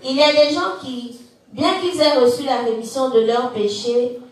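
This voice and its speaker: Woman reader, high voice, booming echo, far from the mic. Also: steady tone, moderate pace. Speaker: scripture reader. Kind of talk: reading a text aloud. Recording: echoey room, mic far off.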